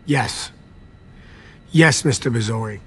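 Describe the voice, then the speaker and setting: A man's voice: medium pitch, slow pace, slightly rough. An actor voicing a nervous, servile vampire garage attendant in a video game, pleading and evasive in his manner. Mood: scared, pleading. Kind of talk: video game cutscene acting.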